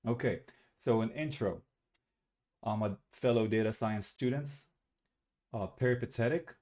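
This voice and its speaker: A man's voice: mid-range voice, a little slow, darkish tone, lo-fi sound. A young man from Algeria giving a recorded student presentation on a webcam, talking casually and correcting himself as he goes. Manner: casual, self-correcting, slightly rambling.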